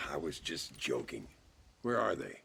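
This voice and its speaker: Old American Western gunslinger, very deep, heavily gravelly, wry and weary, swinging delivery, video game cutscene. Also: male voice, sing-song, slow, sharp tone, exaggerated voice. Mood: dry, joking. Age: older.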